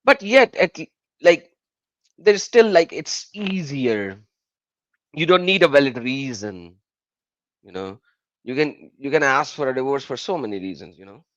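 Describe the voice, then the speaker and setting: A man whose first language is Urdu, hosting a live call-in stream in casual English. He explains with many fillers and an animated delivery. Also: medium pitch, unhurried, long pauses, no echo, muffled recording.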